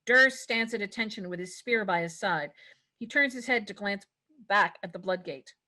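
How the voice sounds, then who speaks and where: A woman's voice: mid-range voice, expressive, normal speed, sharp tone. A woman reading stage directions as scripted narration at a table read.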